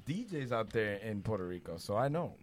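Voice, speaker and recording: A man's voice: fairly low pitch, sing-song, a little fast, faint music behind. A man co-hosting a casual podcast, laid-back and slangy. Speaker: podcast co-host.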